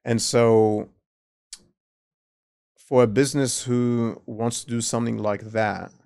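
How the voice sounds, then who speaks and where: Man, podcast host, accented, gravelly, dry close sound. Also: mid-range voice, flat delivery, slow, darkish tone, no room sound. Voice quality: speaks with a non-native accent. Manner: frequent short affirmations, probing questions. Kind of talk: casual interview chat.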